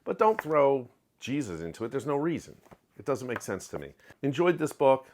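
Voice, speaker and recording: A middle-aged man giving a candid, opinionated book review in a home study, talking close to the camera with lively hand gestures. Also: mid-range voice, normal speed, slight background noise. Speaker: book vlogger. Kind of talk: casual reading wrap-up review. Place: home study with shelves of collectibles. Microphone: webcam framing, close to camera.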